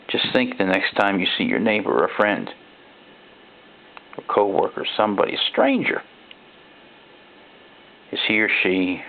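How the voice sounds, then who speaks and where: Man acting rattled gravelly horror-story survivor, phone-like sound. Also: lowish voice, slight background noise. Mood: resigned warning. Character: frightened ordinary man recounting robot replacements. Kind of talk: first-person dramatic horror narration. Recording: thin, phone-like narrow-band sound.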